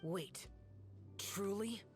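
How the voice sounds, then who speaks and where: A man's voice: high voice, extremely slow. A man playing a stern, aloof, immortal young demon slayer in a video game, speaking in a solemn, formal, curt way. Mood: stern, disdainful. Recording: prominent game music behind.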